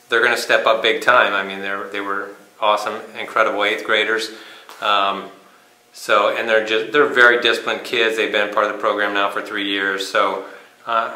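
A man in his fifties, a sports coach giving an informal interview, speaking haltingly with pauses mid-sentence. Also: fairly low pitch, sharp tone. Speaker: high school cross country coach. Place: small bare indoor room. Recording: echoey room.

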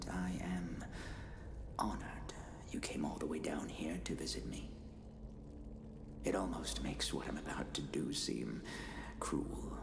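A man playing a fanatical, menacing cultist with an inky body in a video game, preaching reverently in a breathy, hushed, theatrical way. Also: low voice, reverberant, exaggerated voice. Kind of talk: video game villain monologue.

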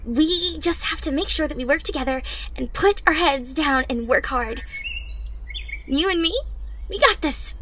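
A young woman playing a caring, encouraging teenage superhero in training, speaking gently and earnestly in an anime-inspired ASMR roleplay. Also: high-pitched. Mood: upbeat, encouraging. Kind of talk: ASMR roleplay, acted monologue to listener. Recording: noisy ambience with birdsong. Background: birdsong, outdoor ambience.

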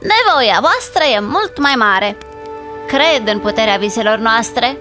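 A woman voicing eager, emphatic female adventurers in an animated fairy tale. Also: a little high, music in the background, close to an everyday voice. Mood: earnest.

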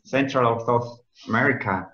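A man's voice: medium pitch, moderate pace, fairly bright tone. A man hosting a group video call with short, polite interjections. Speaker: meeting host. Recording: echoey, dull video call audio.

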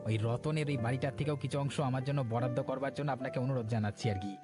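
A man's voice: medium pitch, very fast, over music, close to an everyday voice. A man voicing a greedy, blunt village land-grabber in a cartoon. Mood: greedy, conniving. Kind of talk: Bengali cartoon voice acting.

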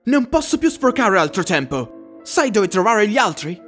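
A performer voicing an earnest, exasperated animatronic bear in an expressive, theatrical cartoon dub. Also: medium pitch, normal speed, soft background music. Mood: determined.

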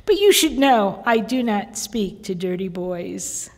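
A woman reading aloud gives voice to a proud young wife of the desert, calm and clipped, never raising her voice in defiance. Her words ring out with a long echo through a large hall. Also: mid-range voice, measured pace. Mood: defiant.

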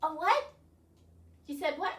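High-pitched older woman leading storytime. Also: a little slow. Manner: animated, instructing, with frequent questions to children. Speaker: children's librarian leading storytime. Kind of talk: children's storytime, addressing young viewers. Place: small living room, indoors. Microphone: far camera. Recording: roomy, distant camera microphone.

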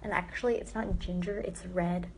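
A teenage girl chats loosely with viewers, giggling and drifting into soft, quiet asides. She is recorded up close on a phone's front camera in a small bright room. A faint hiss sits under her voice. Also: on the low side, steady tone, normal speed, rough recording. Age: teens. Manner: chatty, giggly, lots of "like", trails into quiet asides. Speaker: live streamer answering viewer comments. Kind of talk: casual live stream chat. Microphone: phone front camera held close.

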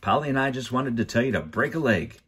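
A man voicing a genial, oblivious, formal middle-aged gentleman in a storybook, hearty and polite. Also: medium pitch, expressive, fast talker, slightly rough, pitched above the performer's own voice.

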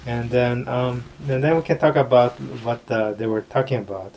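A man tutoring a learner patiently through a puppet role-play, talking quietly with many pauses and fillers. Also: medium pitch, poor audio. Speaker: English tutor. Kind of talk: casual role-play lesson.

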